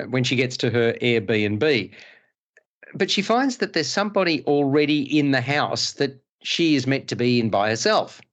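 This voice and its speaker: A male podcast host and film critic, chatting casually and reviewing films with many restarts. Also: mid-range voice. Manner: stammering restarts, animated.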